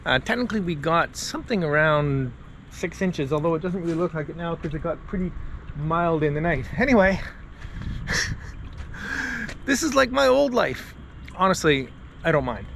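A man's voice: on the high side, expressive, normal speed, vocal fry, pauses often, a bit bright, slight background noise. A middle-aged man casually talking through repair tips in a small wooden boat cabin, chatty and self-deprecating. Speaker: vlogger. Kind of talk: casual how-to vlog narration.

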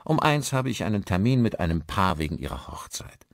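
A man's voice: on the low side, sing-song, brisk, no echo, clean studio sound. A man voicing a friendly, married village pastor in an audiobook, courteous and talkative. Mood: friendly, helpful.

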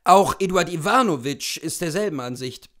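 A man voicing a distressed gentleman husband, earnest and pleading, in a dramatised classic story reading. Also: on the high side, lively intonation, moderate pace. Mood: coaxing.

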